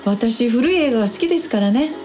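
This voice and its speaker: A woman's voice: fairly high pitch, expressive, fairly quick, warm tone, close to an everyday voice. An actress playing a tipsy, lovelorn woman in a radio drama, sighing yet polite. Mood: wistful. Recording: music behind the voice.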